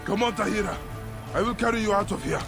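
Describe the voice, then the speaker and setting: Solemn, gravelly warrior leader. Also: male voice, high-pitched, fast talker, music in the background. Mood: urgent, pleading. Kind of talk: dramatic video game acting.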